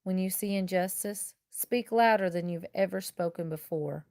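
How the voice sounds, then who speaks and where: A woman reading a children's picture book aloud like a teacher, with expressive, deliberate and emphatic phrasing. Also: fairly low pitch, no echo, clean studio sound. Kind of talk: expressive children's storybook read-aloud in first person.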